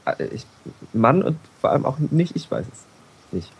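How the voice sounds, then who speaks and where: A man's voice: medium pitch, big pitch swings, normal speed, breathy edge. A man co-hosting a game podcast, talking casually in a hesitant way with many restarts. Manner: hesitant, full of fillers and restarts. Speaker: podcast co-host.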